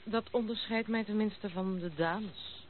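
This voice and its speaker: A woman's voice: medium pitch, a little slow, natural voice. An actress playing a proud, outspoken young noblewoman in a Dutch radio play, with a brusque, mocking manner. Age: 20s. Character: blunt, proud, unconventional young noblewoman of twenty-six. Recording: tinny band-limited old radio play recording, noisy.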